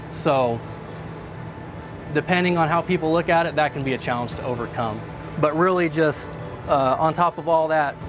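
A man in his thirties explaining a control station in a casual, plain-spoken way, with fillers, recorded inside a small equipment-filled room. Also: fairly high pitch. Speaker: sensor operator explaining a drone cockpit.

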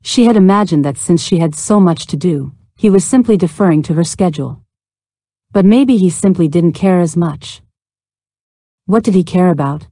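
A woman's voice: fairly low pitch, mellow, no echo. A woman reading scripted narration from a science fiction novella as an audiobook narrator.

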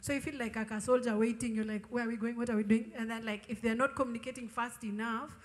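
Woman, higher voice, sharing candid testimony at a church gathering, emphatic and informal, reverberant PA sound. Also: steady tone, quick pace. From Ethiopian raised in Kenya. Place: large open-sided tent gathering. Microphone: handheld microphone.

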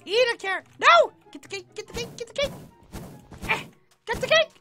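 Woman playing an excitable, very high-pitched game heroine. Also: fairly quick, soft background music, heavily acted. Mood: playful, combative. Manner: exclamatory, repeats phrases, squeals and mutters. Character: Ballora, excitable, easily flustered cartoonish young heroine in battle. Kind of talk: Minecraft roleplay gameplay acting.

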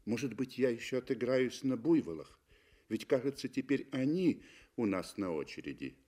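A man's voice: medium pitch, animated, moderate pace, warm tone, voice pushed up, close to an everyday voice. A man voicing an ashamed, anxious American man in his thirties for a radio story, hesitant and apologetic. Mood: rueful, hopeful.

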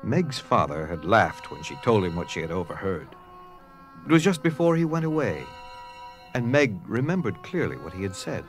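A man's voice: lowish voice, sing-song, moderate pace, faint music behind. A man reading scripted narration for an audio drama, telling the story dramatically.

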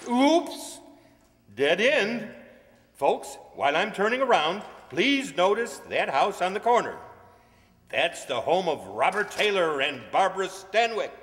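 A man's voice: high-pitched, big pitch swings, measured pace, fairly bright tone, heavily acted. A man playing a cheery, chatty bus tour guide in an old-time radio comedy, announcing to his passengers with showy patter. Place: stage of a large hall. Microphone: stand microphone. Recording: echoey hall stage performance.

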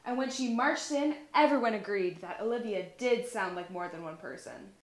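A woman's voice: mid-range voice, normal speed. A young woman reading a children's story aloud expressively in a living room, acting out the dialogue. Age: young adult. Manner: animated, acts out dialogue. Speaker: storybook reader, high school senior. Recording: roomy indoor echo.

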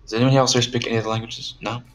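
A man's voice: medium pitch, little pitch movement, very fast. A man playing a tabletop game, chatting casually in a playful, questioning way. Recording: music behind the voice. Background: background music.

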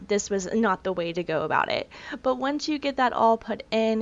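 A woman in her thirties talks through a recipe in a casual, self-deprecating voice-over. Her voice sits at a middle pitch, and the sound is slightly muffled. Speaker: cooking vlogger.

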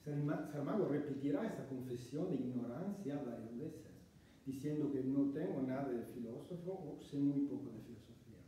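A man from Italy giving an academic lecture in Spanish, measured and reading from notes, recorded from far off in a small lecture room. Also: mid-range voice, warm tone, heavy reverb, a little hiss or hum. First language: Italian. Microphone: far camera.

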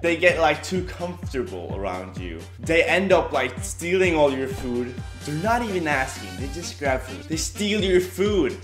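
Young guy vlogging at home, kinda high bright voice, echoey room, super animated. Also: normal speed, few pauses, music in the background. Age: young adult. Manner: energetic, animated, playful. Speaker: host. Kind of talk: casual vlog chat. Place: indoor home room, kitchen behind.

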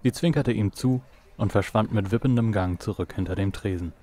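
A male narrator reads a noir audio drama from a script. His voice is on the low side, in the manner of detective fiction storytelling. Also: fairly quick, warm tone.

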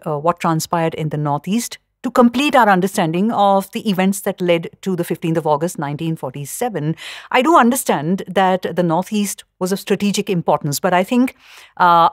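Low-voiced woman co-hosting. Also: no echo, studio quality. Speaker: podcast co-host. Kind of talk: conversational interviewing.

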